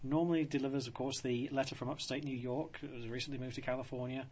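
A man's voice: mid-range voice, fairly even intonation, quick pace, darkish tone. A man hosting a radio show, chatting in a jovial, rambling way and leaning on "of course.". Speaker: radio host. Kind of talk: casual radio chat.

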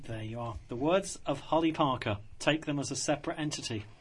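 A man's voice: fairly high pitch, brisk, slightly rough. A man hosting a radio show, chatting in a jovial, rambling way and leaning on "of course.". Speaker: radio host. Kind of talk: casual radio chat.

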